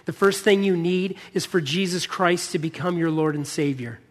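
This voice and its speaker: High-pitched American preacher in a reverberant hall. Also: male voice, normal speed. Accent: American, touch of Midwestern. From United States, born in Michigan. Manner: earnest, emphatic repetition. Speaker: pastor preaching. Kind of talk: sermon. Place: church auditorium.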